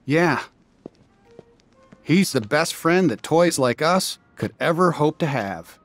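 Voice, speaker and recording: An actor playing a friendly, easygoing cowboy toy leader in a video game, folksy and reassuring. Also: male voice, on the high side, expressive, slow pace, soft background music. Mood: wistful. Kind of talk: animated video game dialogue.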